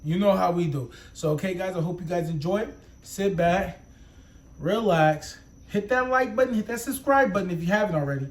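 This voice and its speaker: A young man with a slightly high voice hosts his reaction channel in a rambling, hyped way. He swears casually and leans on street slang as he talks to his audience. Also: brisk. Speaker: YouTube reaction host. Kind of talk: casual vlog intro.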